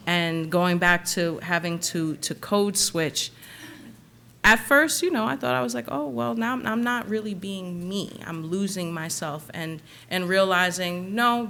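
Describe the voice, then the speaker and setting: Candid woman panelist, low voice. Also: fairly bright tone, a little hiss or hum. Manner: reflective, candid, laughs now and then. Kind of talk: panel discussion answer.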